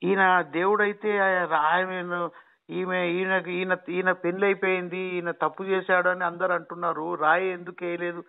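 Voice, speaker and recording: A man with a Telangana Telugu accent questioning on a phone call in a halting, repetitive, insistent way. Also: sits high, little pitch movement, creaky, clear, resonant tone. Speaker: phone caller. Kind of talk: questioning in casual phone conversation. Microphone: phone line.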